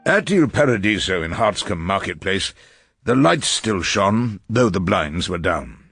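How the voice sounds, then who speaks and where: A man's voice: sits low, animated, a little slow, a bit bright, slightly rough, soft background music, exaggerated voice. An actor playing an irascible, witty elderly English barrister in a radio drama, pompous and ornate in his phrasing as he talks and narrates. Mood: wry, measured. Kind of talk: radio drama acting and wry first-person narration.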